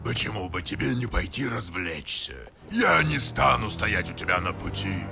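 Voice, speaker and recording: An actor playing a huge, contemptuous demon centaur in a dubbed cartoon, with a menacing, theatrical manner. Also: male voice, fairly low pitch, animated, moderate pace, gravelly. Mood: scornful. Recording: loud music under the voice.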